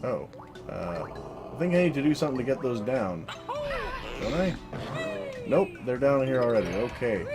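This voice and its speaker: A woman with an unusually deep, low voice narrates her gameplay in a flat, monotone, offhand way. She jokes at her own expense and swears casually. Loud video game music plays behind her voice throughout. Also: normal speed, pauses often. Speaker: let's play commentator. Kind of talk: solo gameplay commentary.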